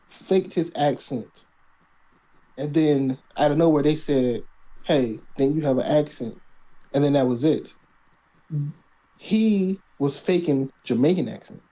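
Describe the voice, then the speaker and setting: A man co-hosting a relaxed podcast, questioning and teasing as he argues his picks. His voice is mellow and dark-toned at an everyday middle pitch. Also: poor audio. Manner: laid-back, teasing and skeptical, laughs often. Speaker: podcast co-host. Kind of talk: casual wrestling predictions chat.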